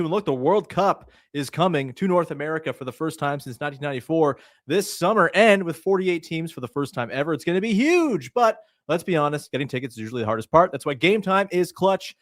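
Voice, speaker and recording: A man's voice: on the high side, dry recording, studio quality. A man, speaking as a sports podcast host. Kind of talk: sports podcast talk and sponsor reads.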